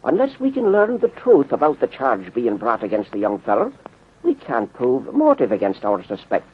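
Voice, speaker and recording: An actor playing an earnest, folksy police sergeant with an Irish accent in a 1930s radio drama. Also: male voice, medium pitch, moderate pace, husky. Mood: earnest, puzzled. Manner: folksy, hesitant, expressive. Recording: muffled, tinny 1930s radio recording.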